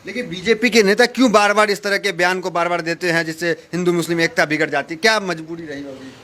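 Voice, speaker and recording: A man's voice: high-pitched, fairly even intonation, fast talker, a crowd in the background. A man asking questions as an interviewer in a journalistic style.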